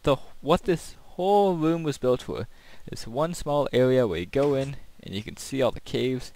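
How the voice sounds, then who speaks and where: A man's voice: mid-range voice, expressive, moderate pace, dark tone. A man casually talking through his builds and play as a gaming video host, in a rambling commentary.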